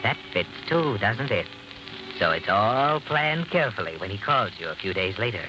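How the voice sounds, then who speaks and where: An actor playing a taunting, all-knowing narrator in old-time radio suspense, insinuating and prodding with rhetorical questions. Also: male voice, fairly low pitch, sing-song, normal speed, noisy background. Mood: ominous, suspenseful. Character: taunting, omniscient male inner-voice narrator of doom.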